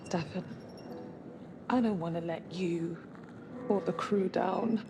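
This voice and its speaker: An actress playing a reluctant young crew member in a sci-fi game, speaking in an earnest, hesitant, subdued way. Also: female voice, fairly low pitch, takes it slowly, gravelly. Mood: reluctant, pleading. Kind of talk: cinematic game drama acting. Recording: music behind the voice.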